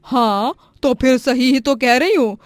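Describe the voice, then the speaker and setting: A high-voiced, domineering middle-aged mother-in-law in a cartoon moral tale. She is greedy and bossy, scolding and lamenting with great theatrical drama. The sound is close and dry. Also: a little slow, no echo. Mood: haughty, bossy. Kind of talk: animated Hindi moral-story voice acting.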